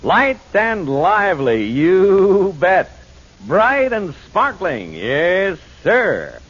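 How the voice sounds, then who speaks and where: A man's voice: sits high, wildly swooping pitch, a bit bright, a little hiss or hum, full character voice. An actor playing an enthusiastic male beer fan drawing out slogans in a 1940s radio commercial. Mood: exuberant. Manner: drawn-out, exuberant slogan delivery. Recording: dull 1940s radio recording.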